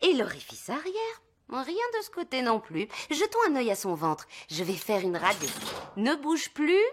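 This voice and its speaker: A woman playing a kindly, reassuring veterinarian in a cartoon fairy world, soothing, sing-song and expressive. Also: on the high side, moderate pace, background noise. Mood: brisk, reassuring. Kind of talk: animated cartoon dub.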